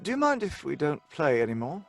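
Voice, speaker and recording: An actor with a British accent playing a nervous, earnest young gentleman who speaks hesitantly in an old-fashioned radio drama. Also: on the high side, wildly swooping pitch, a little fast, creaky, soft background music, exaggerated voice. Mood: uneasy. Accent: British English. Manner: hesitant, jittery.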